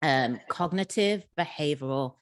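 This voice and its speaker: A woman's voice: deep voice, expressive, a little slow, no room sound, clean studio sound. A woman moderating a video call panel, asking questions encouragingly and often repeating words as she starts sentences. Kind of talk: hosting a panel conversation.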